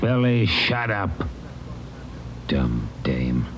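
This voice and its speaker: An actor playing a callous, resigned ex-con thief in an old radio crime drama, curt and sullen. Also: male voice, low-pitched, big pitch swings, drawn-out words, full character voice. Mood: snapping. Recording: dull, noisy vintage radio recording.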